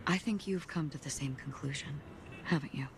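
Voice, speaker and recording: An actress playing a calm, enigmatic swordswoman in a game, speaking in a composed, deliberate and solemn way. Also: deep voice, noisy background. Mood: earnest, calm. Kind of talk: scripted game dialogue. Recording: game dialogue over background music.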